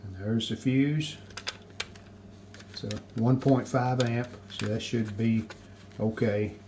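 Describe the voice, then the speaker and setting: A man giving casual, plainspoken hands-on narration as the host of a repair video, unscripted and pausing mid-sentence. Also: medium pitch, fairly even intonation. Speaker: host of a radio restoration video channel. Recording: clear background noise, room ambience.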